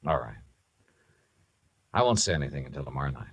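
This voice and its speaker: A man's voice: very deep voice, sing-song, brisk, vocal fry, slightly rough, dry recording, full character voice. An actor playing a shrewd, wry male investigator in a 1950s radio drama, with a dry, clipped delivery. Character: wry, shrewd male investigator narrating his own case. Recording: 1950s radio recording with music.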